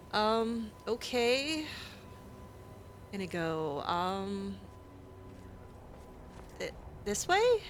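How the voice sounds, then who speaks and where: A woman's voice: a little high, a bit bright, a little hiss or hum. A woman playing a video game in character, chatting along with plenty of fillers and restarts. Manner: chatty, hesitant, lots of fillers. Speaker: let's play gamer. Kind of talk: in-character game roleplay commentary. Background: faint game music.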